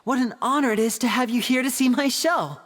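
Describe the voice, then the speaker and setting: A man's voice: very high voice, brisk, a bit bright, in a large hall. A voice actor playing a showy, gracious stage magician greeting his audience in a game scene. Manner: theatrical, gracious.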